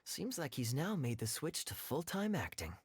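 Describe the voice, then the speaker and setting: A breezy, husky, lively young gentleman. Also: a little high, moderate pace, dry recording, heavily acted. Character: suave, friendly young man praising an actor friend. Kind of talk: conversational video game dialogue.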